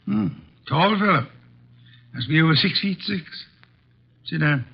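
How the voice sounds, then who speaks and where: An actor playing a bulky, ponderous genius detective in a 1940s radio mystery, speaking in a dry, skeptical, deliberate way. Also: male voice, fairly high pitch, animated, creaky, breathy edge, heavily acted. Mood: skeptical, imperious. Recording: dull old 1940s radio recording.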